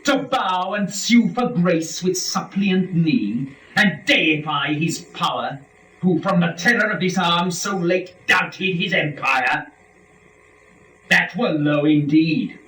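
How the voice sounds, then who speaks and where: A man's voice: on the high side, slow, voice pushed up. A man playing a proud, defiant fallen angel who leads hell, declaiming epic verse in a grand dramatic reading. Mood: proud, vengeful. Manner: declamatory, grand, swelling from hushed to shouted. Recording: roomy, distant mic, faint noise.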